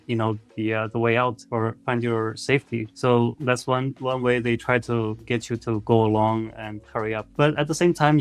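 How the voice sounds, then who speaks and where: Even-toned man explaining in an interview. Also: medium pitch. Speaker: game developer being interviewed. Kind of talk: casual interview talk.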